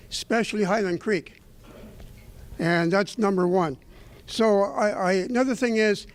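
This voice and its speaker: A man's voice: sits high, no echo. A middle-aged man giving closing remarks as a council candidate, speaking earnestly and rambling, often repeating himself. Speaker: election candidate.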